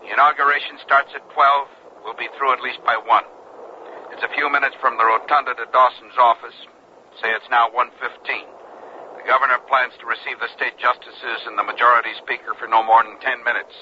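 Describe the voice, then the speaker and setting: A very sharp, hard voice in lo-fi radio sound with faint noise. a cold conspirator, clipped and commanding in a radio play. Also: male voice, mid-range voice, level tone, brisk. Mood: cold, businesslike. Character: cold, businesslike male conspirator planning an assassination by radio. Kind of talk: 1970s radio drama acting.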